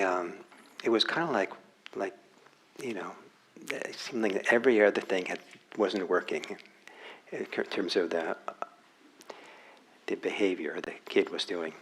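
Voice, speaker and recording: Soft-spoken, halting male meditation teacher. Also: low-pitched, a little hiss or hum, low-quality recording. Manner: halting, reflective, many restarts. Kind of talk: dharma talk. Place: meditation hall.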